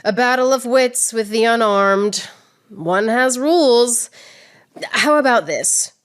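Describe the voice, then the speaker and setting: A woman's voice: a little high, takes it slowly. A woman playing a proud, witty swordswoman poet in radio theatre, declaiming verse with mocking precision. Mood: proud, sardonic.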